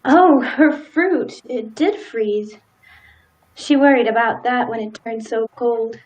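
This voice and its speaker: A woman playing a timid, sympathetic sheriff's wife in a live radio play, gentle and deferential. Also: fairly high pitch, slow pace, muffled recording, a little hiss or hum. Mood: concerned.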